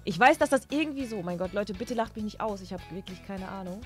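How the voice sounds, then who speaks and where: A woman's voice: medium pitch, expressive, fast talker, over music. A young woman chatting casually while playing a game, flustered and exclamatory. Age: young adult. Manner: flustered, exclamatory, drops in English phrases. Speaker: player in a group game video. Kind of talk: casual gameplay chat.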